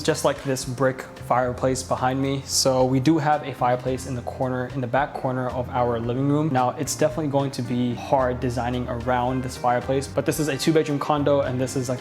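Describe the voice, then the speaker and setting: A man with a mild head cold talking casually as the host of a home tour vlog, indoors in an empty living room. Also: mid-range voice.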